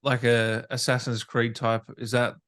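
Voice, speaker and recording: A man's voice: mid-range voice, level tone, unhurried, fairly bright tone. A man hosting a gaming interview, chatting casually and asking eager follow-up questions. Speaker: interviewer, gaming podcast host.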